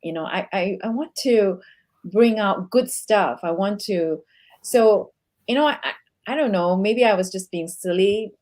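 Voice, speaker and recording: A woman's voice: medium pitch, measured pace, darkish tone. A woman from Singapore, a guest in a casual conversation who talks reflectively and searches for her words.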